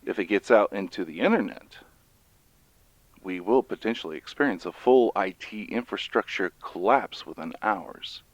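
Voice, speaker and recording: A man's voice: fairly low pitch, lively intonation, moderate pace, no echo. An actor playing an earnest male professor who explains urgently and emphatically in an audio drama. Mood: grave warning. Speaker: professor, researcher. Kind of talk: dramatized audio fiction monologue.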